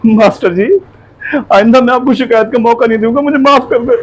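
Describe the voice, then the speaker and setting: An actor playing a poor, honest tailor with a deferential, pleading way of speaking in a moral drama. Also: male voice, very high voice, fairly quick. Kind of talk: moral story drama acting.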